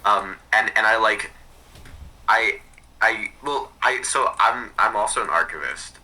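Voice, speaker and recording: Distant, very bright-voiced man chatting tentatively. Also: on the low side, a little slow. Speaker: podcast guest, hobbyist archivist. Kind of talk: casual chat.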